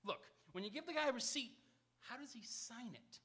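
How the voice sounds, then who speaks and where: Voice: medium pitch, lively intonation, brisk, sharp tone. A performer playing an exasperated, confused baseball fan in a live radio comedy double act, with insistent questions that rise into indignant outbursts. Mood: frustrated. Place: auditorium stage, live radio theatre production.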